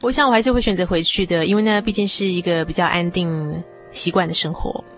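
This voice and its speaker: A woman's voice: lowish voice, fast talker, fairly bright tone, soft background music. A woman in her thirties giving her personal view in a casual, reflective panel discussion.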